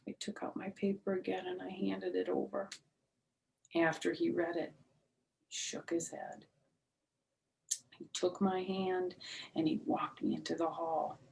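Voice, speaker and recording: A woman's voice: lowish voice, vocal fry, low-quality recording. A woman reading a children's book aloud expressively as a storyteller, with explanatory asides.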